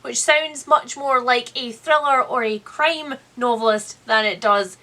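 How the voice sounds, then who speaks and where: A woman with a high-pitched, bright, forward voice hosts a chatty book vlog. She talks straight to her viewers, with wry sarcasm and playful exaggeration running through her delivery. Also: unhurried. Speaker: book reviewer, channel host. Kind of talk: chatty direct-to-camera vlog.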